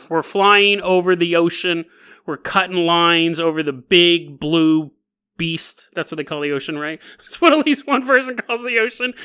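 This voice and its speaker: A man hosting a solo podcast, telling stories in a casual, jokey, animated way. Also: sits high, clear, resonant tone. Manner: animated, jokey, laughs at own asides. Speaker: podcast host. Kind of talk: casual comedic storytelling, solo podcast narration.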